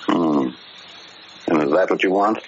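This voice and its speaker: A man's voice: sits low, measured pace. An actor in a 1940s radio drama playing a paternal, exasperated prison warden, earnest and insistent in his questioning. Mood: reproving, worried. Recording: muffled, hissy vintage radio broadcast recording.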